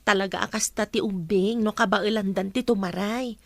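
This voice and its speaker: A woman's voice: medium pitch, fairly even intonation, normal speed, vocal fry, dry recording. A woman playing a concerned friend who gives advice in an Ilocano radio drama.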